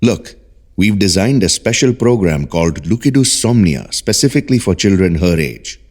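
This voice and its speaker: An actor playing a calm, persuasive research doctor in an audio drama, speaking in a measured, polite, soothing way. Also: male voice, low voice, heavily acted. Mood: earnest, persuasive.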